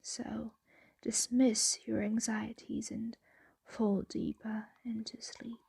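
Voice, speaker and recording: A woman voicing a possessive, doting female dragon in an ASMR roleplay, soothing and teasing in a breathy, intimate whisper. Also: fairly high pitch, level tone, slow, dark tone, no echo, studio quality, exaggerated voice.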